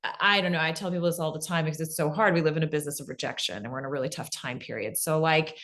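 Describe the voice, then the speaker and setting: A woman's voice: low-pitched, very fast, fairly bright tone. A woman giving an informal workshop talk and answering questions, encouraging, with lots of "like" and "right?" in her speech. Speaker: presenter answering audience questions.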